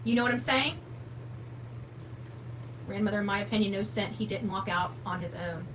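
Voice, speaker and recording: A woman's voice: mid-range voice, moderate pace. A woman hosting a true crime livestream, speaking off the cuff in a blunt, opinionated, emphatic way and answering viewer comments. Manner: blunt, opinionated, emphatic, asks rhetorical questions. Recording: noticeable background noise with hum.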